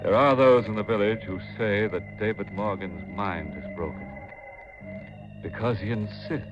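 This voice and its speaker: An actor playing a slyly jovial, macabre horror host on 1950s radio, theatrical and mock-courteous in manner. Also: male voice, fairly low pitch, moderate pace, lightly acted. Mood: grave, ominous. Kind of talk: 1950s radio mystery hosting and narration. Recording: muffled 1950s radio recording with music.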